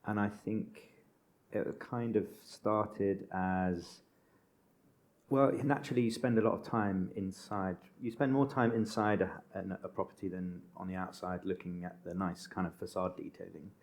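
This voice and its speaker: A man, an architect turned interior designer, explaining his path on a panel in a relaxed way. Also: deep voice, unhurried. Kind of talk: panel discussion. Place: stage at a design event.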